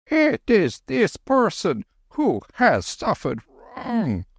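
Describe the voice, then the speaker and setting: A man voicing a gentle, serene old Tibetan holy man who speaks slowly and solemnly. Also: high-pitched, huge pitch swings, breathy edge, dry recording, clean studio sound, voice pushed up, full character voice. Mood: mild. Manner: slow, mild, solemn.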